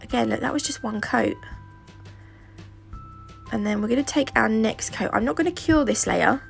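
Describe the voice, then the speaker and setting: Stuffy-nosed British woman, gravelly edge. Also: fairly high pitch, moderate pace, soft background music. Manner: chatty and rambling, with fillers and asides. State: sore throat, stuffy nose. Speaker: nail tutorial vlogger. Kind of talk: casual how-to narration.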